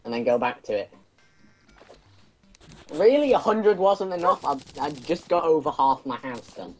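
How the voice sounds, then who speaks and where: A man's voice: on the high side, lively intonation, normal speed, a little mellow. A man making wry, sarcastic remarks while playing a video game with friends. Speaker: game player. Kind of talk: casual gaming chat.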